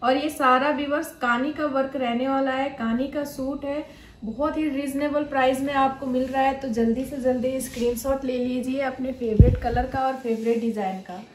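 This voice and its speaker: A high-voiced woman runs through clothing pieces in an even sales patter, calling out to viewers again and again. Her voice rings in a roomy, echoey shop with a faint hum of ambience behind it. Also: moderate pace. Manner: steady promotional patter addressing viewers. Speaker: shop presenter. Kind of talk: sales pitch showing suits.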